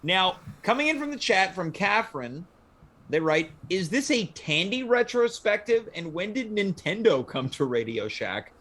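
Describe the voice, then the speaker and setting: A man with a fairly high-pitched voice acts as host of a casual panel talk. He speaks conversationally and directs questions to the others. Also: sing-song, normal speed, fairly bright tone.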